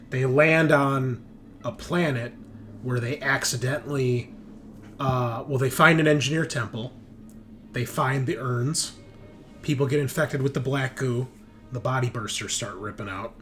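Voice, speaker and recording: A man acting as game master, chatting casually and explaining the game's backstory in a rambling, conversational way. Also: mid-range voice.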